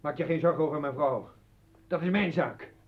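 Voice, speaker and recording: Man acting sarcastic husband, highish husky voice, indignant, radio drama. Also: moderate pace, lightly acted. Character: indignant, sarcastic husband defending his wife. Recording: dull old radio recording.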